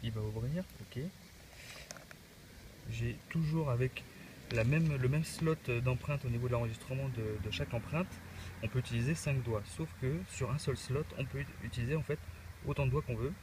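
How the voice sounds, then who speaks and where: A man's voice: medium pitch, moderate pace, noisy background. A man with a cold giving a casual, hesitant unboxing walkthrough as a tech reviewer, with many filler sounds. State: has a cold.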